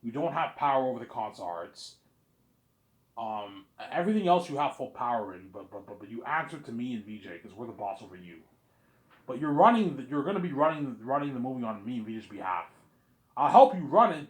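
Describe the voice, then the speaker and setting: A man's voice: medium pitch, lively intonation, brisk, poor audio. Rambling man, casual and repetitive. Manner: repetitive, often restarting phrases. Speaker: speaker in a personal series about his planned film.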